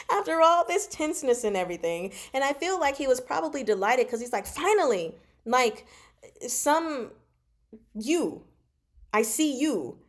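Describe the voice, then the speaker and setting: A woman's voice: high-pitched, big pitch swings, slow, long pauses. Woman giving a slangy, emphatic book recap. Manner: slangy, emphatic, acting out dialogue in own voice; punctuates points with "okay?" and "right?". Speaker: host of a book discussion. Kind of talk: casual animated recap and commentary on a novel.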